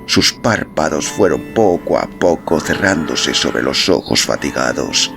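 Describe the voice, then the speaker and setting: A man with a low, gravelly voice narrates an audiobook. His delivery is measured and dramatic, with lively rises and falls, over clearly audible music. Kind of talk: expressive literary narration.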